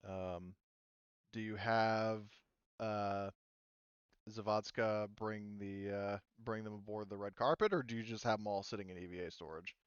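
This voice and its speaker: Low, smooth-voiced man as game master. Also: fairly even intonation, measured pace, dry recording, dull audio. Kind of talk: casual tabletop game refereeing.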